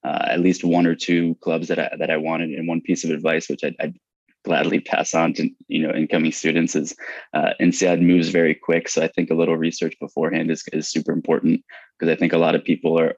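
Very deep, fast-talking American man. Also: completely flat delivery, gravelly, dry recording. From United States. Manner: halting, many restarts and fillers, laughs lightly. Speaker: guest, MBA student. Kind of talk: casual interview answers. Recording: dull lo-fi dry sound.

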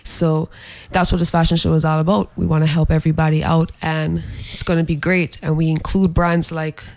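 Man, gravelly and flat, halting guest spot with lots of ums, dry sound. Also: fairly high pitch, no echo, poor audio. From Saint Croix, US Virgin Islands. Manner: halting, many filler pauses. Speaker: guest, fashion brand designer. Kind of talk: interview promoting an event.

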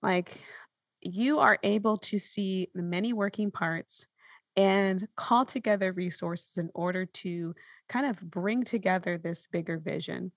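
Creaky-voiced woman hosting, close and dry. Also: mid-range voice, measured pace, dry recording. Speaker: podcast host, interviewer. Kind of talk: casual conversational interviewing.

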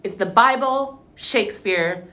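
A woman's voice: on the high side, unhurried, sharp tone. A woman interviewing a guest in a casual conversation, chatty and eager with many filler words. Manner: chatty, eager, lots of "like" and "you know".

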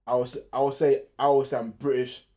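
A quick-talking man from Nigeria speaks with passion in a relaxed group discussion. His voice sits at a middling pitch, and "like" runs all through his talk. He pushes his points hard. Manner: passionate, full of "like" fillers.

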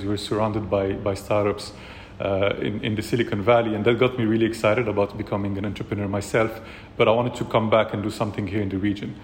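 A man from Morocco, a startup co-founder, telling his story in a measured way with many fillers. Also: fairly low pitch, roomy sound, long reverb tail, slight background noise. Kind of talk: casual interview.

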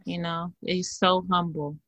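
A woman hosts a podcast, her voice sitting a little low. She affirms her guest warmly and repeats phrases in a preacherly rhythm, recorded dry and close. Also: little pitch movement, a little slow, no room sound. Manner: warm, affirming, preacherly repetition. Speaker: podcast host. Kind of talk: casual chat.

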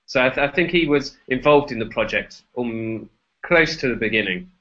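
A man's voice: medium pitch, animated, normal speed, sharp tone. A man co-hosting a casual tech podcast while recovering from an illness, speaking hesitantly with many fillers. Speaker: podcast co-host. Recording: dull lo-fi video call audio.

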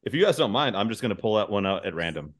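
A man's voice: on the low side, big pitch swings, fast. A man hosting a podcast in casual, joking conversation, rambling along with goofy enthusiasm. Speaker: podcast host.

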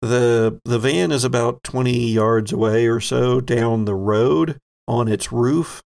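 Unhurried, gravelly man running a tabletop game. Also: mid-range voice, darkish tone. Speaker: game master. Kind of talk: narrating a tabletop role-playing game.

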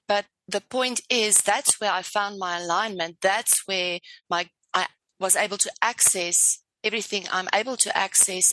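Bright enthusiastic South African woman. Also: medium pitch, no room sound, low-quality recording. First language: Afrikaans. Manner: effusive, enthusiastic, searching for words. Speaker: spiritual life coach guest. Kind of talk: casual podcast interview.

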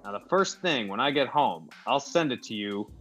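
An actor playing an affable, tough-talking movie gangster star in an old-time radio comedy, with slangy, stammering speech. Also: male voice, mid-range voice, lively intonation, moderate pace, fairly bright tone, natural voice. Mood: brisk, conspiratorial. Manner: slangy, clipped, hesitant stammers. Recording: dull lo-fi audio with music behind.

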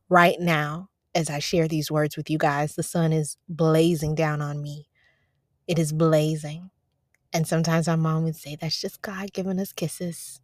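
A woman hosting a devotional podcast, preaching casually from her parked car, talking to listeners as y'all, her voice going a little raspy. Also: low voice, darkish tone, dry recording, studio quality. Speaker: podcast host.

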